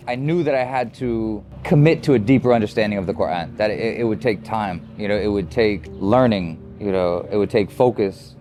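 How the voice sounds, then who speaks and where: An American man speaking reflectively in a documentary interview, measured and thoughtful, often saying "you know". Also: fairly low pitch, darkish tone. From United States.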